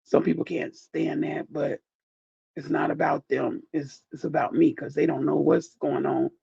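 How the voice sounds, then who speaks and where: A man with a dark, husky, deeply gravelly voice that he calls groggy, heavy with grief. He talks slowly and softly to his viewers, rambling and heartfelt. The recording sounds dull and dry, with a lo-fi edge. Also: dry recording. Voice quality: groggy, deep. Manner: soft-spoken, rambling, heartfelt. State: grieving. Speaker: YouTuber thanking viewers. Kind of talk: casual direct-to-camera chat.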